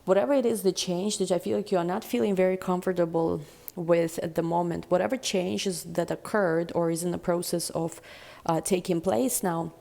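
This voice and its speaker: A woman giving a casual tarot reading in a deep, chesty voice, rambling and reflective with many fillers. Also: steady tone, moderate pace, gravelly, dark tone. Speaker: tarot reader.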